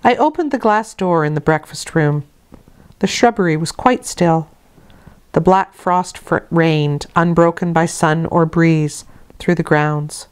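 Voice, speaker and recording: A woman reading a literary novel aloud as expressive narration, with measured, deliberate phrasing. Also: deep voice, smooth. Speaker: audiobook reader.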